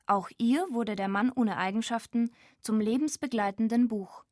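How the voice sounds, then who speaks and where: Higher-pitched woman narrator, dry studio sound. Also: moderate pace, darkish tone, no echo. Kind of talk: scripted documentary narration.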